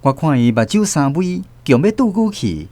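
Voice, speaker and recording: A man's voice: fairly high pitch, big pitch swings, moderate pace, mellow, no echo. A man with a Taiwanese accent narrating a first-person story dramatically for a radio drama.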